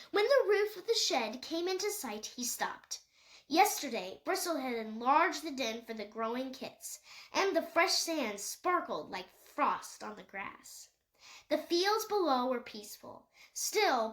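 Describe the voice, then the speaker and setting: Lady reading an audiobook story out loud, pretty high voice, lots of up and down. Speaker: audiobook reader. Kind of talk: scripted storybook narration.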